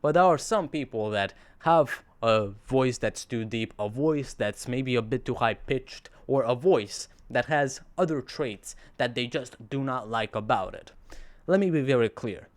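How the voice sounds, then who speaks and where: A teenage boy with a surprisingly deep, radio-like voice talks straight to his audience. His delivery is earnest and rambling, full of restarts and the odd sarcastic aside, at an ordinary middle pitch for a man. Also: unhurried. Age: teens. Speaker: vlogger. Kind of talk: casual monologue to camera.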